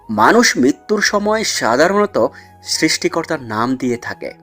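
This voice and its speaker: A man's voice: on the high side, sing-song, slow pace, a bit bright, soft background music, full character voice. A man playing a cunning, commanding mafia mastermind in an audio drama, explaining things in a smug, condescending way. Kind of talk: dramatised audio story acting.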